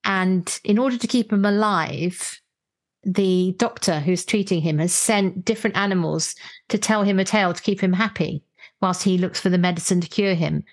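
A woman's voice: mid-range voice, normal speed. A woman, speaking as a podcast host in a conversational style. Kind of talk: conversational podcast interview and promo.

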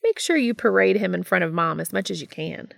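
A woman's voice: mid-range voice, expressive, a little fast, vocal fry, no room sound, studio quality. A woman doing a brash, teasing older brother. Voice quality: loud and teasing.

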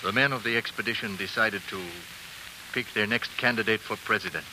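An actor playing an earnest, respectful expedition man in a formal, deliberate 1940s radio drama style. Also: on the low side, fairly even intonation, natural voice. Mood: solemn. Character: earnest, respectful male frontier expedition member. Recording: noisy, hissy 1940s radio recording.

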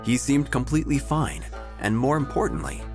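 A man narrating a scripted audio drama in a measured, theatrical, dryly whimsical storytelling manner. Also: medium pitch, music in the background.

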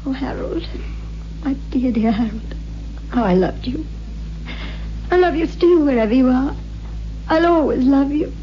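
A high-pitched, anguished widow in an old radio horror play. She is theatrical and intense, her voice swinging widely. The recording is muffled, with music behind it. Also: animated, a little slow, pauses often, a little hiss or hum. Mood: longing. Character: anguished widow haunted by a cursed pendant. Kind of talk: 1960s radio horror acting.

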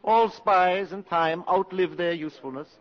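An actor playing a cold, cynical colonel and spymaster, speaking deliberately and sardonically in a 1950s radio drama. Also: male voice, high-pitched, natural voice. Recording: muffled 1950s radio broadcast.

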